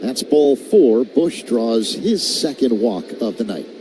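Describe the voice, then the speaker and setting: American guy doing baseball radio play by play, mid pitch, really loud park noise behind him. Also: animated, slow. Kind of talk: live radio sports commentary. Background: loud ballpark ambience.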